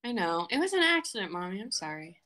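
A woman's voice: mid-range voice, big pitch swings, fast, sharp tone. A woman playing a curious, innocent seven-year-old girl in a dramatic script reading. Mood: apologetic.

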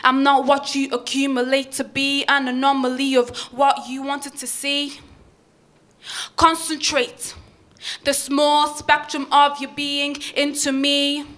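A woman's voice: sits high, level tone, slow pace, fairly bright tone. A young woman performing rhythmic spoken word poetry into a stage microphone. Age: young adult. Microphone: handheld stage microphone.

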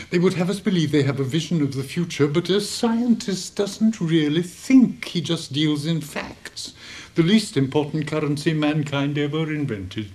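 An actor plays an elderly, idealistic headmaster in a British radio drama. He is mournful and oratorical, an earnest man in despair, his voice pitched a little high. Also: a little mellow, lightly acted. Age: older. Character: despairing, idealistic old headmaster near retirement.

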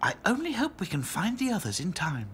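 A woman voicing a clever, eager girl doll who talks briskly. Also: fairly low pitch, wildly swooping pitch, a bit bright, slight background noise.

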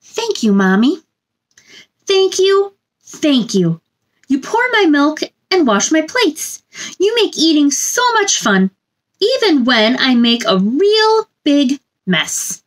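A woman in her thirties doing a children's read-aloud, with a gentle sing-song delivery and chatty asides addressed to young listeners. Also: high voice, big pitch swings, takes it slowly. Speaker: children's storytime host reading a picture book aloud. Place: small indoor room.

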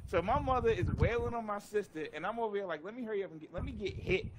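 Animated, high-voiced man telling stories. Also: fast talker. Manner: animated, profane, slangy, acts out quoted dialogue. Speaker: gaming channel player telling childhood stories. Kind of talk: casual storytelling over online game chat. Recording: noisy game voice chat with clicks.

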